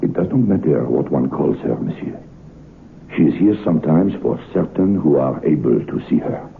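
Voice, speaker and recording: Very deep, gravelly French waiter. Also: male voice, expressive, heavily acted. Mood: grave warning. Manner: halting, formal, deliberate broken English with French words. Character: grave French waiter telling a ghostly tragic tale. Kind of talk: old-time radio horror drama acting. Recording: muffled old radio recording with hiss.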